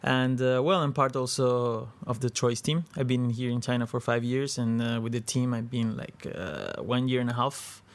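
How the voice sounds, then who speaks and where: A man's voice: mid-range voice, moderate pace, hardly any pauses. Hesitant young man from Venezuela. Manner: hesitant, searching for words. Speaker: film crew member, actor. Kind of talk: interview answers. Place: hotel room.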